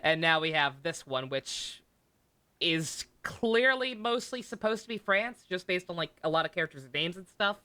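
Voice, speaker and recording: A man giving casual live stream commentary on a game, rambling, halting and often correcting himself. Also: a little high, expressive, bright, forceful tone, smooth. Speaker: game livestreamer. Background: game music.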